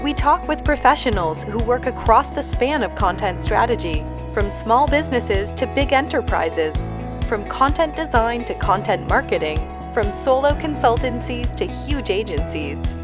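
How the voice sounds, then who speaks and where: A woman's voice: fairly high pitch, normal speed. A woman reading a polished, scripted podcast introduction and handing over to the host. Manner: polished, even announcing. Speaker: show announcer. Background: music.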